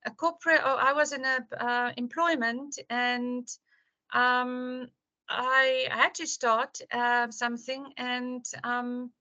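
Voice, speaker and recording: A woman's voice: high voice, level tone, takes it slowly, sharp tone, muffled recording. A woman instructor opening an online course, repeating her questions and praising answers, with many fillers. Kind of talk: online class intro.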